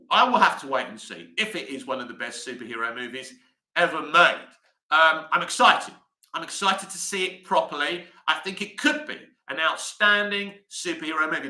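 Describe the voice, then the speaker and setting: A man's voice: a little high, bright, forceful tone, roomy sound, poor audio. A man from the UK hosting a live stream, chatting and answering viewer comments as he reads them out. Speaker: host.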